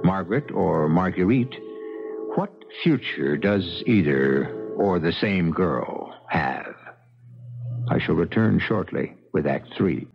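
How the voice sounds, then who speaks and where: A man narrates as host of an old radio mystery show, theatrical and deliberate. His voice is deep with wide swings in pitch, over music on a dull broadcast recording. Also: vocal fry. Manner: portentous, deliberate.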